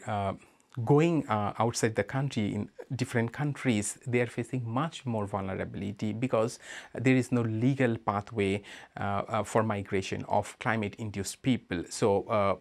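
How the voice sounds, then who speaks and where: A man from Bangladesh with a Bangladeshi accent, speaking as a migrant rights advocate in an interview. He talks in a measured, hesitant way with many "uh" fillers. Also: on the low side. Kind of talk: interview statement.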